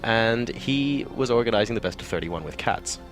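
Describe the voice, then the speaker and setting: A man from Hong Kong, an esports caster, talking quickly and enthusiastically in an interview at a busy event. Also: mid-range voice, lively intonation, faint music behind. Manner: quick, chatty and enthusiastic, with frequent fillers. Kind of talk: casual interview answers.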